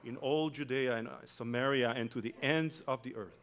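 A man from Israel with an Israeli accent, a native Hebrew speaker, giving a slow, reflective guest sermon in a church. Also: medium pitch, steady tone. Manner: slow, reflective, with long pauses and soft trailing phrases. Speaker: guest preacher. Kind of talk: meditative sermon with chanted Hebrew opening.

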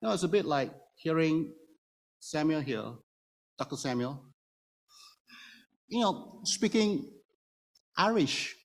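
A man's voice: fairly high pitch, lively intonation, slow pace, pauses often. A man who is a native Hokkien speaker, preaching an explanatory sermon to a congregation and putting rhetorical questions to his listeners. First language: Hokkien Chinese. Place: church room before a congregation. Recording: reverberant hall, long echo.

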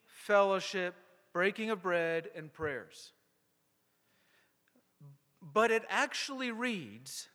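A male pastor preaching a sermon to a congregation, emphatic and rhetorical, with deliberate pauses. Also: high voice, bright, forceful tone. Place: church sanctuary. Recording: long hall reverb.

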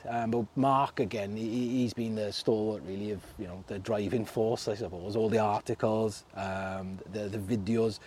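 Welsh guy from Merthyr, casual interview guest, mellow tone, chatty with lots of laughs and ums. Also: fairly low pitch, fairly even intonation, normal speed, hardly any pauses, slight background noise. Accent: South Wales (Merthyr). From Merthyr Tydfil, Wales. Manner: chatty, laughs often, many fillers and restarts. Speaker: fishing club secretary, guest.

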